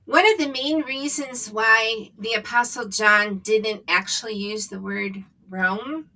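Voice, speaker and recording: A middle-aged woman co-hosting a casual Bible discussion, chatty and quick to jump in with comments. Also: a little high, fairly even intonation, pushed and bright. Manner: chatty, laughs easily, jumps in with comments. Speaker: co-host. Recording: distant mic.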